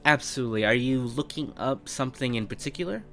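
A man's voice: mid-range voice, lively intonation, fast talker, sharp tone. A man speaking as a game master, hosting a fantasy role-playing show and setting up questions for a player. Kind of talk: scripted intro narration and character interview. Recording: music behind the voice. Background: background music.

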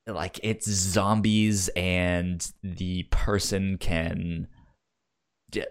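A man's voice: sits low, level tone, very slow, clean tone. A man co-hosting a podcast in casual film discussion, stammering and often repeating sounds and words. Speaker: podcast co-host.

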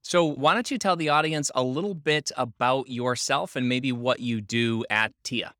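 Bright-voiced male podcast co-host. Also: medium pitch, moderate pace, no room sound, studio quality. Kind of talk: casual podcast conversation.

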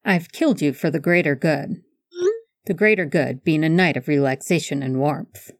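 A woman's voice: low-pitched, normal speed, no echo. A woman voicing a grumpy, sardonic young man with a dry, blunt delivery in a story reading. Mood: wry.

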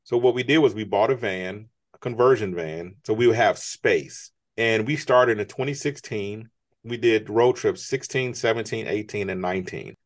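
Low-voiced man, earnest and motivational. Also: normal speed. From United States. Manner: earnest, motivational, emphatic rises. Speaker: guest, business strategist. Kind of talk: conversational interview.

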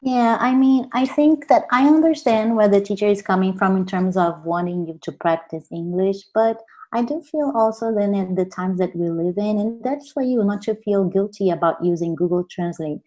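A woman's voice: mid-range voice, normal speed, a little mellow, poor audio. A woman whose first language is Portuguese, co-hosting a casual discussion podcast and thinking aloud earnestly with repeated words. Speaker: podcast co-host.

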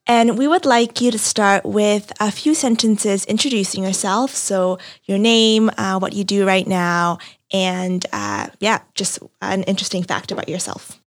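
A woman's voice: medium pitch, unhurried. A woman co-hosting a podcast in a friendly, enthusiastic way, with an occasional "uh" or "um". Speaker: podcast co-host. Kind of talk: casual podcast chat.

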